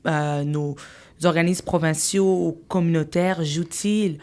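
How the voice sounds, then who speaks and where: Low-voiced woman host. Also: fairly even intonation, a little mellow. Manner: backchannels often, asks questions haltingly. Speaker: podcast host. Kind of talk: moderating a podcast panel.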